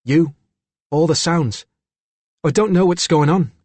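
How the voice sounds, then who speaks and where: Audiobook role: Cockney lad of thirteen played by a man, earnest, impish, pitched up, dry sound. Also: unhurried, a little mellow, no room sound. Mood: wary. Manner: crisp, childlike, impish. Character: open, earnest thirteen-year-old English boy, impish.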